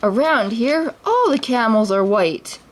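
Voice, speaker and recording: A woman's voice: a little high, sing-song, a little slow. A woman reading a storybook aloud voices a sly, greedy male desert thief who mutters his schemes. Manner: sly, muttering, later panicky wails.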